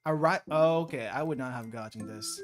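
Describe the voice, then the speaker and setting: Young guy streaming his study session, talks it out loud, laughs a lot, mid pitch, music going behind. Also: a little fast. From Philippines. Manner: chatty, laughs often, thinks aloud. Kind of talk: casual study stream chat.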